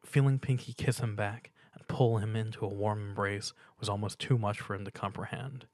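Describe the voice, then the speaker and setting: A man's voice: on the low side, fairly even intonation, normal speed, darkish tone, no room sound, studio quality. A man reading a piece of fan fiction aloud as dramatic story narration.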